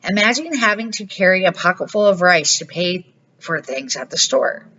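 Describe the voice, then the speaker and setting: A woman's voice: on the low side, animated, normal speed, bright, forceful tone, muffled recording. Older woman reading as a knowing old grandmother. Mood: instructive. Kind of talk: storybook read-aloud.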